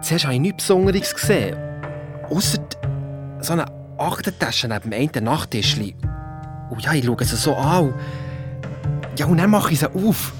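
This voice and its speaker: An actor playing an excitable young boy and amateur detective with a Swiss German accent, telling his story colloquially in a children's radio play. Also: mid-range voice, moderate pace, pauses often, lightly acted. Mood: excited, secretive. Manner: colloquial, eager, defensive when challenged. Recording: music behind the voice.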